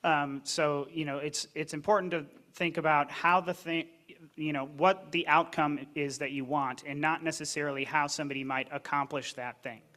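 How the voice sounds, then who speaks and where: Panelist, male, higher-pitched voice, earnest and explanatory. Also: fairly even intonation, moderate pace, sharp tone. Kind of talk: panel discussion.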